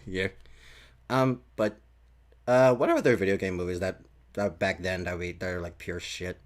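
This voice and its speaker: A man hosting a casual podcast chat, talking in a halting way and swearing freely. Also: sits low. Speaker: podcast host.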